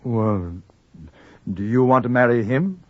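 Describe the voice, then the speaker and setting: An actor playing a cool gentleman adventurer in a radio western, dry, formal and understated. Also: mid-range voice, measured pace, no room sound, natural voice. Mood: friendly. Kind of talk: 1950s radio western narration and acting. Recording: dull vintage radio recording, music behind.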